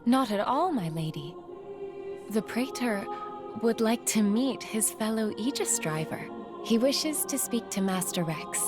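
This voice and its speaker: A woman's voice: fairly high pitch, lively intonation, unhurried, music in the background. A woman voicing a serene, courteous envoy with a formal, composed manner in a video game cutscene. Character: serene, courteous female envoy of a high leader.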